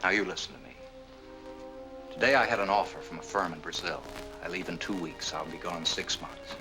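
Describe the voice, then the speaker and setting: An actor playing an earnest, lovestruck young American man, gentle and protective, in a 1940s Hollywood film. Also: low voice, fairly quick, poor audio. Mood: tender, concerned. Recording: old film soundtrack, music behind.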